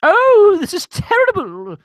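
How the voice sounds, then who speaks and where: A woman playing excitable bit parts in a live comic old-time radio drama. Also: very high voice, slow, dry recording, heavily acted. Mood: alarmed.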